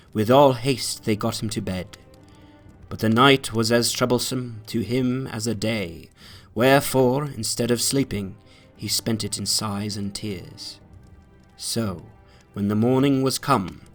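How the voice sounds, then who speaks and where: Man narrating dramatically over music. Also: mid-range voice. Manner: solemn, deliberate phrasing with dramatic emphasis. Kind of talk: dramatic literary reading, scripted narration.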